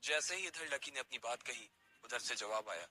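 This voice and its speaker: A man's voice: medium pitch, expressive, normal speed, bright, forceful tone, slight background noise. A man narrating an audio story in a dramatic storytelling style.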